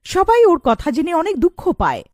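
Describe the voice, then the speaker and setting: A woman's voice: very high-pitched, huge pitch swings, moderate pace, darkish tone, dry recording, clean studio sound. A woman narrating a scripted cartoon story as its storyteller.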